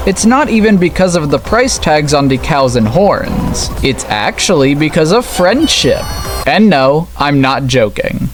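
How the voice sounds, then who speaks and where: A man hosting a gaming video, reading a scripted video essay in a comically exasperated, sweary manner. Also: fairly high pitch. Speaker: host of a gaming video channel. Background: background music.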